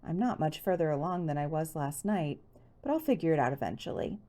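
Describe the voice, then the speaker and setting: A determined teen girl sleuth acted by a woman narrator, frank and playful. The voice is mellow but lively, suited to a storybook mystery. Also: deep voice, brisk.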